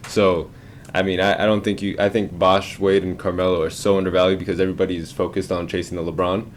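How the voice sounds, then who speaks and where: A man with a very low voice chats casually as a podcast host. There is a faint layer of room noise behind his speech. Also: level tone, quick pace. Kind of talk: casual chat.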